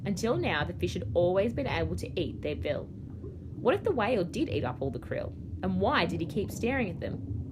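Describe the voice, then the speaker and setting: Animated Australian woman reading a storybook. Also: lowish voice. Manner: expressive, storytelling. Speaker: primary school teacher reading aloud. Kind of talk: children's storybook narration. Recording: clear background noise.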